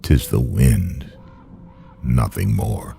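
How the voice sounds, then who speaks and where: A man performing a grieving, haunted narrator, reciting gothic verse for a radio drama in a brooding, solemn, deliberate manner. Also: rumbling low, vocal fry, mellow, a little hiss or hum, exaggerated voice. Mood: uneasy, solemn. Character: grieving, haunted man telling his midnight tale.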